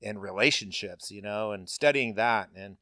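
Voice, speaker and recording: A man's voice: lowish voice, normal speed, pushed and bright, dry recording, studio quality. A middle-aged man, a paving business owner, talking candidly and bluntly with lots of casual fillers. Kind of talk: candid interview talk.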